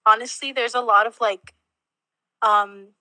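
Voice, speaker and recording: Bright-voiced young woman from Mexico. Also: a little high, fairly even intonation, unhurried, dry recording. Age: young adult. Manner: chatty, many fillers, laughs between words. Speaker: interviewee, college student. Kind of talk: casual interview answers.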